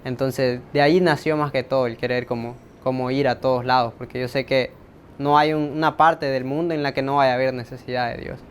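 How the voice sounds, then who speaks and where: A man's voice: fairly even intonation, brisk. A man with a Salvadoran accent giving a casual, reflective personal testimony in an interview, repeating words as he thinks. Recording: faint low rumbling background noise.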